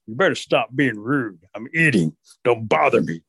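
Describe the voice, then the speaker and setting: A man voicing a huge grumpy bear in a folk tale, slow, stern and menacing. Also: medium pitch, big pitch swings, vocal fry, a bit bright, slightly rough, no echo, clean studio sound, exaggerated voice. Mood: annoyed, threatening.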